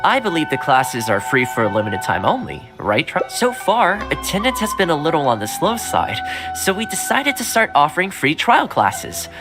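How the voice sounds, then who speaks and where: A courteous young housekeeper speaks as a video game character. His delivery is earnest and explanatory, with animated swings in intonation. Prominent music plays behind him. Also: on the high side, moderate pace, few pauses. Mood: friendly, reassuring. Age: young adult. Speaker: housekeeper and class teacher. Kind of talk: English video game voice acting. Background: prominent background music.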